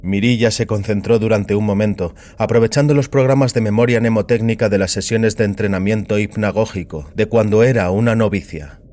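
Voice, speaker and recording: A man's voice: lowish voice, steady tone, fairly quick, hardly any pauses, warm tone. A man giving a scripted narration of a science fiction audiobook. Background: music.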